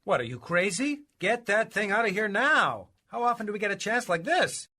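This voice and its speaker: A man's voice: sits high, very animated, moderate pace, bright, forceful tone, heavily acted. A man playing a wry, sarcastic, exasperated father in a radio comedy. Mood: practical, eager. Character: dry, wisecracking, exasperated father of the household. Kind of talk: sitcom-style radio comedy acting.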